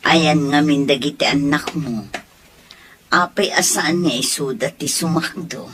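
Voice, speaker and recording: A woman voicing a caring village neighbor who talks in a slow, homely way. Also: low-pitched, crowd noise. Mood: concerned.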